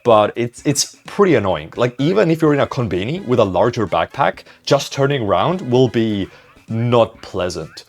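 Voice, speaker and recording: A man's voice: mid-range voice, very animated, moderate pace. A young man answering viewer questions in a casual vlog, rambling, self-mocking and swearing offhandedly. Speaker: vlogger.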